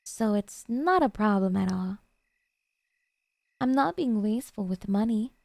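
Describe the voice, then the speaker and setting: Woman acting a soft, mellow, obsessive yandere girlfriend. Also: mid-range voice, expressive, gravelly, dry recording, studio quality. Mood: defensive, insistent. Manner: coaxing, sweetly earnest, hesitant pauses. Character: doting, obsessive, jealous young yandere girlfriend. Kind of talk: ASMR roleplay.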